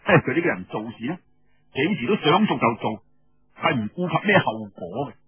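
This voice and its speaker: A man with a Cantonese accent telling a story in an expressive, colloquial oral storytelling style, with rhetorical asides. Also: mid-range voice, a little fast, slightly rough, no echo, dull audio. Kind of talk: dramatic traditional oral storytelling narration.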